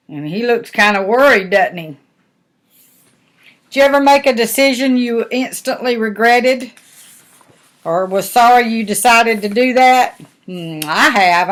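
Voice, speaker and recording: A woman's voice: mid-range voice, fairly bright tone. An older woman, a grandmother, reading a storybook aloud with folksy, chatty asides and questions to the listener.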